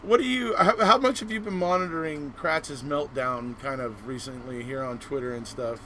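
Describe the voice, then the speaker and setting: A man's voice: mid-range voice, moderate pace, bright, forceful tone, a little hiss or hum. A man hosting a live online show, chatting casually in an encouraging, rambling way. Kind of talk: casual interview chat.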